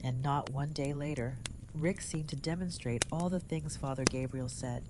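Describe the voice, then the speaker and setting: A woman playing an authoritative, composed community leader in an audio drama, speaking formally and in measured phrases. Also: rumbling low, fairly even intonation, background noise. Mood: solemn, measured.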